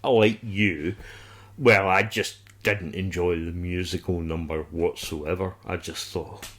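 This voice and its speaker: A man chatting casually as a podcast co-host, blunt and halting in how he gives his opinions. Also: low voice, steady tone, a bit bright. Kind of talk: casual review chat.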